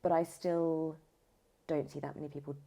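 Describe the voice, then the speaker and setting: A woman with a low voice and plenty of vocal fry talks in a casual interview style. She speaks emphatically and often circles back to rephrase her point. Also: flat delivery, moderate pace, warm tone. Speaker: voice actor, audiobook narrator, podcast guest.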